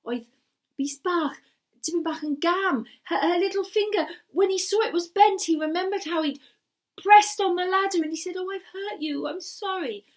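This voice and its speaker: A woman telling a story in an animated, dramatic way, often dropping to a hushed breathy delivery. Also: very high voice, moderate pace. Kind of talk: animated bilingual oral storytelling.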